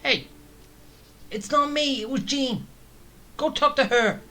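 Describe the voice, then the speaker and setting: A woman's voice: sits high, takes it slowly, heavy background noise. A woman streaming a game, casually commenting and answering the characters. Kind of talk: casual commentary and reading along while gaming.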